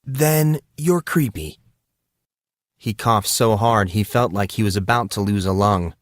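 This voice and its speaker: A man's voice: fairly low pitch, steady tone, normal speed, a bit bright, dry recording, studio quality. A man reading scripted fantasy fiction as a dramatic audiobook narrator.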